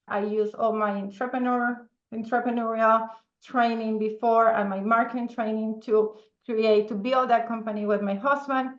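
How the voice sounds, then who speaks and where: A woman's voice: on the high side, fairly even intonation, normal speed, few pauses, roomy sound, lo-fi sound. A woman from Venezuela with a strong Spanish accent, speaking English as a second language as a guest in a casual interview, often checking in with "okay?" and laughing easily. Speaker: podcast guest, entrepreneur.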